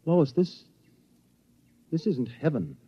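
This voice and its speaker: Earnest young husband, 50s radio play, husky, gentle w/ his wife, dull old recording. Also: a little high, sing-song, takes it slowly, heavily acted. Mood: earnest, wondering. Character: protective young husband on the run, earnest, tender. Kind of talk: 1950s radio drama acting.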